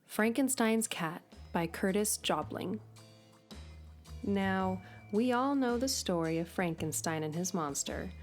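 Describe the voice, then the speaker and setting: A woman narrating a children's storybook aloud, expressive and deliberate, with playful asides. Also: medium pitch. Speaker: storybook reader. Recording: clear background music. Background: music.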